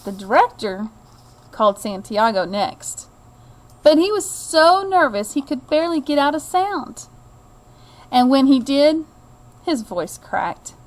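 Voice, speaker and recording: Slow, higher-voiced woman reading a storybook. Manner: slow, expressive, deliberate reading to a child. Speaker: teacher reading a picture book aloud. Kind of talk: children's storybook read-aloud.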